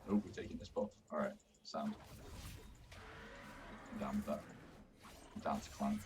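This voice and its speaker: A man from Manchester, hoarse from a sore throat, chatting casually and swearing as he streams his gameplay. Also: rumbling low, fairly even intonation, normal speed, distant mic, noisy background. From Manchester, England. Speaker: game streamer. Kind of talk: casual live gameplay commentary.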